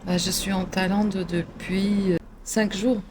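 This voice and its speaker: A woman's voice: mid-range voice, flat delivery, takes it slowly, a little mellow, slight background noise. A middle-aged woman from Portugal, chatting in an interview, hesitant, with many fillers and restarts. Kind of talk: casual interview chat.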